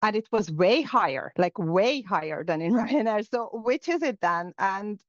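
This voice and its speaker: A woman's voice: mid-range voice, lively intonation, a little slow, dry recording. Hungarian woman reflecting, dry lo-fi sound. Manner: reflective, with frequent fillers and restarts. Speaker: guest. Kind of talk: casual interview conversation. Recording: dull, lo-fi sound.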